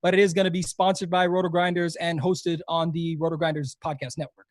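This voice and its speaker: A man's voice: a little high, flat delivery, a little fast, dry recording. A young man with a Canadian accent, talking eagerly and rambling as a podcast guest in a casual interview. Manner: eager, rambling, frequent fillers and restarts. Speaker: guest, fantasy golf podcaster.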